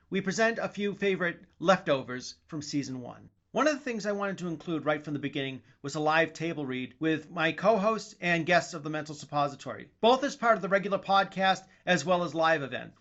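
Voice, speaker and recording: A man's voice: on the high side, fairly bright tone. A man hosting a podcast, reading scripted narration as an announcer. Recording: slightly muffled, music behind.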